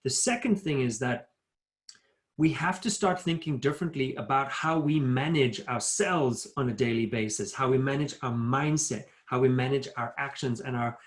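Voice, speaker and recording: A man's voice: medium pitch, moderate pace. Man, middle-aged, motivational speaker, emphatic, builds ideas in lists, video call audio. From South Africa. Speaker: guest speaker. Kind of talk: motivational talk at a virtual town hall.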